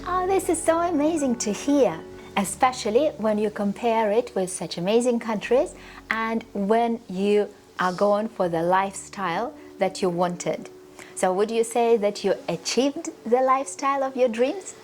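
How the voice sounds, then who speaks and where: A woman's voice: a little high, unhurried. A woman interviewer in a polished promotional interview, enthusiastic and full of praise. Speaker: interviewer, real estate CEO. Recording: music playing underneath.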